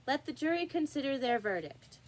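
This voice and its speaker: A woman's voice: sits high, sing-song, a little fast, a little hiss or hum, voice pushed up. A woman voicing a pompous, smug, muttering king in a storybook reading. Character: pompous, muttering king, adult man, smugly triumphant.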